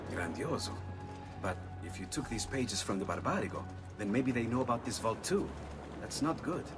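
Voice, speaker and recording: Actor as animated, excitable Italian scholar, slightly distant. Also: male voice, lowish voice, moderate pace, natural voice. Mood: intrigued, worried. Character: earnest, excitable Italian scholar and inventor friend. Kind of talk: video game cutscene acting. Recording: distant from mic, music behind.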